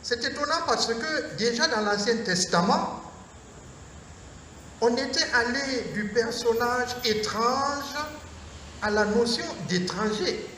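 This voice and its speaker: Man priest, high voice, slow measured sermon phrasing, heard from afar in a reverberant hall. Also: pushed and bright. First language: Mooré. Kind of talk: Lenten lecture sermon. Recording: echoey hall from far off, faint noise.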